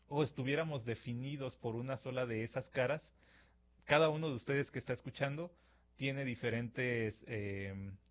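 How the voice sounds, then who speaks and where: A man from Mexico with a Mexican accent, hosting a reflective radio-style talk at a studio mic and acting out imagined dialogues with fillers. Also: medium pitch. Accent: Mexican Spanish. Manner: conversational, acts out imagined dialogues with filler words. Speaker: radio-style podcast host. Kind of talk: reflective monologue to listeners. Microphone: studio microphone.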